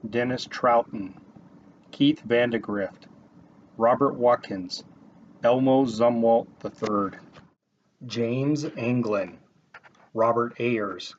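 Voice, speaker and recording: A man's voice: slow, long pauses. A man formally reading out a list of names for a memorial roll call. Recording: dull, noisy with vehicle rumble.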